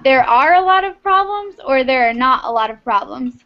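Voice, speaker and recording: Friendly woman teacher with a high voice, coaxing students along on a dull video-call line. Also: expressive, normal speed. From Texas, United States. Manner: friendly, patient, encouraging. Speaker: English teacher. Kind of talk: online class small talk. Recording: muffled video-call audio.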